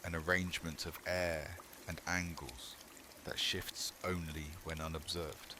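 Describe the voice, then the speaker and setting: A man reading a scripted second-person horror story in ASMR style, hushed and measured, in short phrases with long pauses. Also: a bass voice, level tone, noisy background. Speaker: horror sleepcast narrator.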